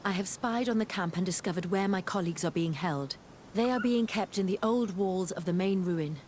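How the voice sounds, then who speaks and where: An actress playing a determined, wary scientist-explorer in a fantasy game, earnest and articulate. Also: female voice, medium pitch, normal speed, a little mellow, a little hiss or hum, lightly acted. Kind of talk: scripted game cutscene acting.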